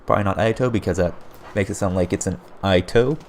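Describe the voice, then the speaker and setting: A man casting a strategy game replay as play-by-play commentary, his voice hoarse and getting raspy, often breaking into surprised exclamations. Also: low-pitched, fast, slight background noise. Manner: excitable, frequent surprised exclamations. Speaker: game caster.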